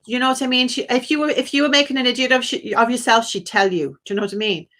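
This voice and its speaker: A woman's voice: fairly high pitch, fast, fairly bright tone. A British woman hosting a live phone-in as a psychic medium, chatting casually through a reading and often checking that the caller understands.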